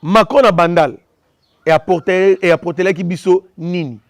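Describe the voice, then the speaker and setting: Impassioned high-voiced Congolese man. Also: normal speed, no room sound, studio quality. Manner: impassioned, repetitive, mixing French and Lingala. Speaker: commentator. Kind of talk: opinion commentary.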